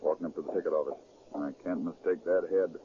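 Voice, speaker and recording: An actor playing a commanding, decisive federal agent chief with clipped speech in a 1930s radio crime drama. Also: male voice, rumbling low, fairly even intonation, fast. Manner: clipped, authoritative. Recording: muffled, noisy 1930s radio recording.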